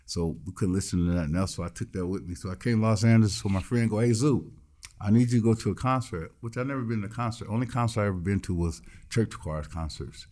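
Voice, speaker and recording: A man guesting on a studio podcast, telling his life story in an animated, punchy, streetwise way. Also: low voice. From Midwestern United States. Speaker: guest musician and producer. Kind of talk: casual storytelling interview.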